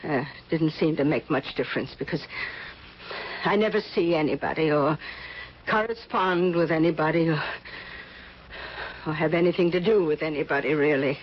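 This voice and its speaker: An actress playing an ailing, overweight, wealthy middle-aged recluse in a radio drama, speaking in a halting, imperious, fussy way. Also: female voice, low-pitched, slight background noise, lightly acted. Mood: aloof. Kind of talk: old-time radio drama acting. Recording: muffled old radio broadcast recording.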